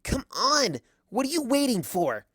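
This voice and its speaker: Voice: medium pitch, big pitch swings, a little slow, a bit bright, slightly rough, dry recording, studio quality, pitched above the performer's own voice, heavily acted. A performer voicing a determined, anxious young female unicorn with an urgent, emphatic manner in a story reading. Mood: impatient.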